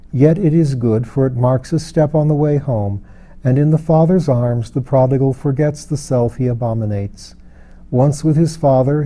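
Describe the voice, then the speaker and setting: A man voicing a grave, compassionate wise woman in a fantasy audiobook, speaking gently, slowly and with calm patience. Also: medium pitch, lightly acted. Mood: grave, sorrowful. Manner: gentle, slow, solemn. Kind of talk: dramatised audiobook reading of a fantasy novel.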